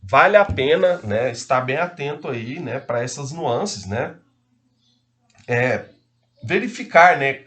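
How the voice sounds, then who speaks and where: A man's voice: medium pitch, expressive, slow, a bit bright, a little hiss or hum. A man giving a casual law lecture, hesitant and full of fillers and tag questions.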